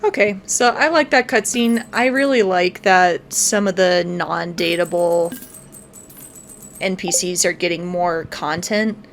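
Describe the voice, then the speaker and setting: A woman's voice: on the low side, measured pace, slight background noise. A woman hosting a casual let's play, explaining her plans step by step as she goes. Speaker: gaming video host. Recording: music playing behind. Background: game music.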